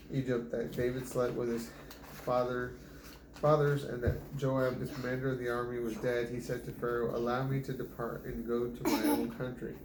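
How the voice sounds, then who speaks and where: A man reads scripture aloud at home, keeping an even, unvaried tone and restarting whenever he trips over a name. His voice sits at a middling pitch. The room is echoey, and steady background noise runs under the reading. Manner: stumbles and restarts on hard names. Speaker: Bible reader.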